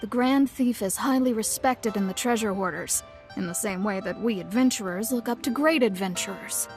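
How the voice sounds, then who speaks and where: A woman playing a young, poised and knowing guild receptionist in an anime-style game, speaking in a measured, courteous, explanatory way. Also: mid-range voice, lightly acted. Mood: courteous, informative. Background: game music.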